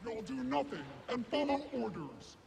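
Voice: medium pitch, big pitch swings, moderate pace, vocal fry, crowd noise. An actor voicing a rigid, obedient battle droid in a video game, clipped and emotionless, through a robot filter. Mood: stern, robotic. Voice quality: electronic, grating.

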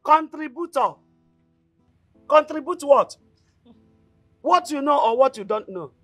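A woman's voice: high voice, animated, brisk, no room sound, soft background music. An actress with a Nigerian accent playing a bossy, protective, emotional village mother in a film drama. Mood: scolding. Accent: Nigerian Igbo-language region. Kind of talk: Nollywood-style film drama acting.

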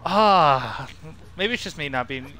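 A man's voice: a little high, expressive, a little fast, music in the background, poor audio. A man doing casual live gameplay commentary as a streamer, rambling and thinking aloud. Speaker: video game streamer.

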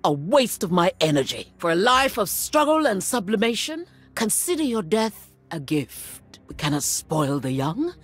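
Imperious warrior matriarch, deliberate and dramatic. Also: female voice, mid-range voice, big pitch swings, slightly rough. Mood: cold, proud. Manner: imperious, measured, menacing. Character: proud ruthless middle-aged warrior matriarch and general. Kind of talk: dramatic video game voice acting. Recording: music under the voice.

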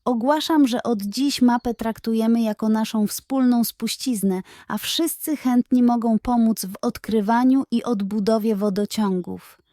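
A woman voicing an excitable, pompous male animal mayor in a children's tale, exclaiming and proclaiming. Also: a little high, measured pace, few pauses, no echo, studio quality, natural voice. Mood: rallying.

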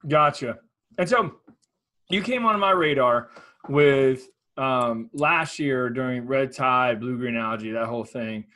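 A man in his forties hosting an interview over a video call, asking halting questions that are full of restarts. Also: medium pitch, bright, forceful tone. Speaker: interview host. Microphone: webcam on a video call.

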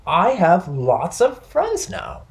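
A man's voice: sits high, huge pitch swings, slow pace, creaky, slight background noise, voice pushed up, full character voice. A friendly little beaver from a children's storybook, voiced by a man. He speaks earnestly and eagerly in simple phrases, with plenty of rise and fall. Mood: happy. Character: small, lonely, friendly young male beaver.

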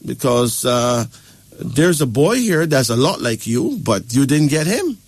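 A man holds forth like an adviser, explaining at length and pausing to ask if he is followed. His voice sits at an ordinary middle pitch. The sound is close and dry, with no room around it. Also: sing-song, dry recording. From Barbados. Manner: lecturing, keeps checking with "right?" and "okay?". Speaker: spiritual advisor. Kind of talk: casual advice talk.

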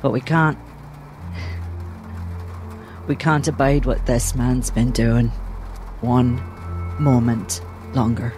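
Man playing a slow, solemn, resolute adventurer. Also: medium pitch, little pitch movement, darkish tone, faint music behind, natural voice. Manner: solemn, measured. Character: earnest, resolute, protective male adventurer. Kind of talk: in-character tabletop roleplay.

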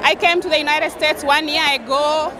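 A woman from Kenya, a nursing graduate, talking excitedly about her degree in a street interview at an outdoor graduation. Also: high voice, normal speed, music in the background. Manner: excited, effusive. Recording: loud crowd noise behind.

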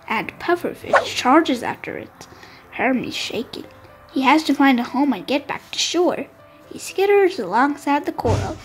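A woman narrating a storybook for young children with expressive, deliberate phrasing. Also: sits high, poor audio.

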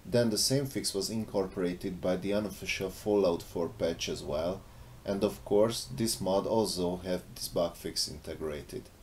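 A man's voice: unhurried, a little mellow. A man narrating an explanatory voice-over as a game modder. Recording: faint background noise, low rumble.

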